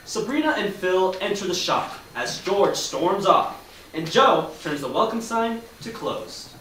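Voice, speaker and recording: A brisk male narrator of a comic stage play, high and animated in pitch. He reads stage directions from a distance in a very echoey hall. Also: heavily acted. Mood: narrating. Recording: echoey hall from far off, faint noise.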